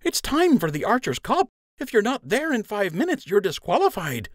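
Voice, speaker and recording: A man's voice: high voice, huge pitch swings, moderate pace, dry recording, clean studio sound, pitched above the performer's own voice, full character voice. A man voicing a loyal young male rabbit friend in an audiobook, eager, teasing and insistent. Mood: urgent. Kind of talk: audiobook character acting.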